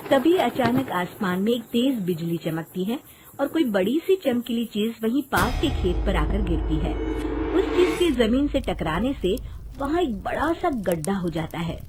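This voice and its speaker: Animated, high-voiced woman storyteller. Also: unhurried, background noise. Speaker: story narrator. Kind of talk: scripted children's story narration.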